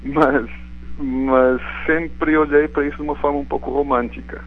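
A middle-aged man from Portugal with a European Portuguese accent softened by Brazilian sounds, speaking thoughtfully as a guest in a live radio interview. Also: on the high side, flat delivery, normal speed, a little hiss or hum. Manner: thoughtful, searching for words with restarts. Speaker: guest author and biology professor. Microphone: telephone. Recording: tinny phone line.

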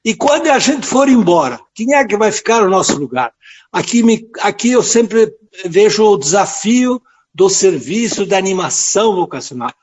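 A man in his late seventies with a southern Brazilian accent from Rio Grande do Sul, a priest telling his story in a halting, earnest, devout way. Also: high-pitched, expressive, husky, muffled recording. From rural southern Brazil. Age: late 70s. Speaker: priest and friar, interview guest. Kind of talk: reflective personal storytelling in a radio interview.

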